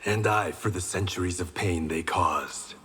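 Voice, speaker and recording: A man's voice: low-pitched, a little slow, sharp tone, slightly rough, exaggerated voice. An actor playing a resolute, wry blind swordsman in a fighting game, speaking with dry confidence and determination. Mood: wry, confident. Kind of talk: fighting game character banter. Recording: long reverb, faint background noise.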